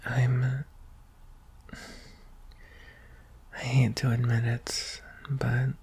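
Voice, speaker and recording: A performer voicing a shy, anxious young alien prince in an ASMR roleplay, speaking softly and hesitantly in a breathy, husky half-whisper. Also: mid-range voice, completely flat delivery, exaggerated voice. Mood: anxious, tender. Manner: hesitant, halting, tender. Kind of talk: ASMR roleplay, soft intimate delivery.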